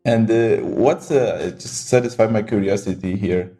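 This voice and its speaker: A man hosting a casual interview podcast, speaking in a halting way with repeated words. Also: lowish voice, warm tone, faint music behind. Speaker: podcast host interviewing startup founders.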